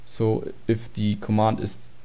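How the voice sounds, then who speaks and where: A man's voice: lowish voice, monotone, slow, mellow, clean tone. A man giving an informal, matter-of-fact tutorial walkthrough of program code. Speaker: tutorial presenter.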